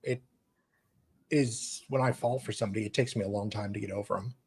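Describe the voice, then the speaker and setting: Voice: medium pitch, steady tone, a little fast, a little mellow, husky. A co-commentator chatting casually on a livestream, speaking in a hesitant, self-correcting way. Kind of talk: casual chat.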